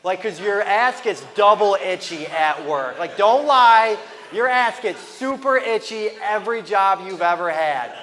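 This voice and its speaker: Lisping thirty-something stand-up comic. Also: male voice, high-pitched, expressive, fairly bright tone, in a large hall. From Toledo, Ohio, US. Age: 30s. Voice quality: lisp. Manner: loose, rambling delivery with quick asides to the crowd. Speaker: stand-up comedian. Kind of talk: stand-up comedy set. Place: comedy venue with a live audience.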